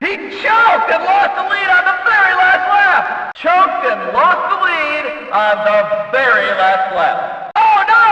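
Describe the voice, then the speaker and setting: A man playing an excitable, over-the-top race announcer in a racing video game, calling the action with emphatic, drawn-out exclamations. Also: extremely high pitch, full character voice. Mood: excited disbelief. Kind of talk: shouted arcade racing game commentary. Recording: echoey PA-like hall, distant, dull, crowd.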